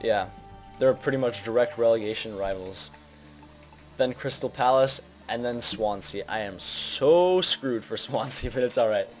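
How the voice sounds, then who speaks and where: A young man hosts a gaming video with loose, unscripted commentary. His voice sits at an average pitch and his delivery is informal, hesitant and self-deprecating. Faint music plays behind him. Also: measured pace. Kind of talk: casual unscripted game commentary.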